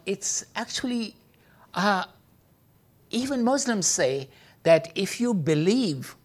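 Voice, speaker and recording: A man giving an informal teaching talk, full of hesitations and filler sounds, speaking English with non-native phrasing. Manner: hesitant, many filler sounds, lively pitch jumps. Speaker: speaker teaching about Islam.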